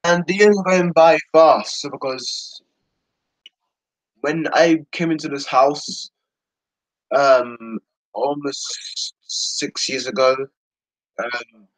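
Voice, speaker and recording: Halting teenage boy, tired and dry-sounding. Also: fairly high pitch, no room sound, low-quality recording. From United Kingdom. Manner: halting, repetitive, trails off mid-sentence. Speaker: video creator talking to subscribers. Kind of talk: casual rambling chat to viewers.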